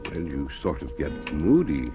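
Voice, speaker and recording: A low-voiced older guardian in an old radio thriller. His delivery swings widely in pitch, hesitant and patronizing, on a vintage recording. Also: very animated, unhurried, exaggerated voice. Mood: evasive, patronizing. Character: evasive older male guardian, folksy but menacing. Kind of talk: 1940s radio drama acting. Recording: vintage 1940s radio recording, faint music.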